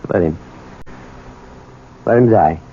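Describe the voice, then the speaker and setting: Bitter, wounded son, tinny radio sound. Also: male voice, low voice, slow pace, slightly rough, exaggerated voice. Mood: cold, refusing. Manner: terse, emotional, incredulous. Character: bitter, wounded son who hates his convict father. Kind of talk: old-time radio drama acting. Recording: tinny, noisy old radio broadcast recording.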